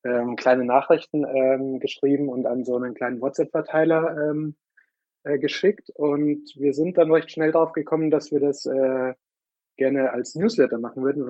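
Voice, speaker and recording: A man with a mid-pitched voice gives informal answers as an interview guest. He speaks haltingly, searching for his words. The sound is dry and a little dull, lacking detail. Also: steady tone, warm tone, no echo. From Munich, Germany. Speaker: interview guest, newsletter co-founder.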